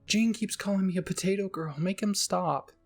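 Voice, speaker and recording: A man's voice: high-pitched, moderate pace, faint music behind, voice pushed up. A man voicing a whiny, feisty teenage girl cadet who complains in an anime story. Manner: complaining, pleading.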